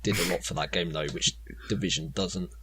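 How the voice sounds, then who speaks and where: A man's voice: sits low, steady tone, fairly quick, sharp tone, slight background noise. A man co-hosting a podcast, talking informally in a casual chat. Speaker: podcast co-host. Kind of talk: casual chat about games.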